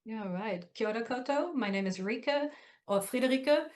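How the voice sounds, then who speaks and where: A woman's voice: a little high, expressive, brisk, darkish tone. German-accented woman on a video call. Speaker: trainer on a webinar panel. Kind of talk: casual self-introduction on a video call.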